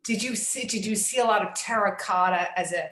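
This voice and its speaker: A woman's voice: mid-range voice, a little fast, a bit bright, roomy sound, a little hiss or hum. A woman from New York who is an executive director hosts a Q&A, asking effusive, rambling questions and restarting her sentences often. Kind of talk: casual Q&A questions.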